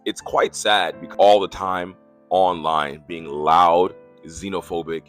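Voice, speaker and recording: A man's voice: very deep voice, a bit bright. A man from the United States ranting in a mocking, exasperated tone about online comments. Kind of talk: casual reaction rant.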